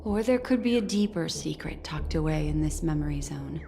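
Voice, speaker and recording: A composed, enigmatic woman guide from a video game, with a lowish voice. She speaks deliberately and shrewdly, as if weighing each secret, over clear background music. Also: expressive. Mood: grave, musing. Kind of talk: scripted video game dialogue.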